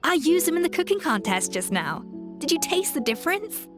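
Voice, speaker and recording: A woman's voice: extremely high pitch, big pitch swings, brisk, over music. A woman playing a self-assured young chef in a video game, passionate and articulate as she explains things. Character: confident, exacting young female chef, passionate about cooking. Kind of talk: video game character dialogue.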